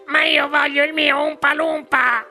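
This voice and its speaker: A woman's voice: high voice, fairly even intonation, a little slow, bright, forceful tone, soft background music, pitched above the performer's own voice, heavily acted. A woman voicing a spoiled, demanding little rich girl in a storybook, whiny, insistent and imperious.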